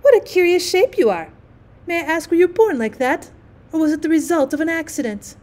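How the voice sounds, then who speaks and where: A woman's voice: very high voice, lively intonation, brisk, darkish tone, pitched above the performer's own voice. A woman voicing a peaceable, homely female duck in a storybook, mild, chatty and practical. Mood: curious.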